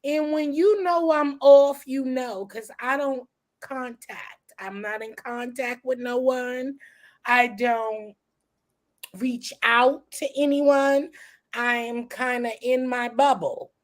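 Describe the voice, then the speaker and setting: Woman, high voice, slow drawl, slightly hoarse, casual gossip. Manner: drawling, calls everyone girl, lively laughs. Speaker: guest in a live chat.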